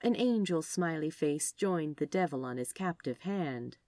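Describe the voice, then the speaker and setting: A woman reads fiction aloud as narrator. Her voice is low and moves through animated rises and falls. The sound is dry and free of room echo. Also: a little slow. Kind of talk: expressive scripted fiction narration.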